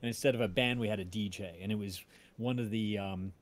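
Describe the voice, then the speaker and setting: A man's voice: on the low side, steady tone. Rambling man telling stories casually. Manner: rambling, halting, self-interrupting. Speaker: podcast creator and voice-drama maker. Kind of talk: casual storytelling on a livestream.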